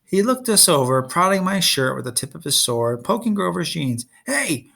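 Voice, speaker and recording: A man reading a story aloud as an expressive narrator. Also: on the high side, normal speed, vocal fry. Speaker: storybook reader.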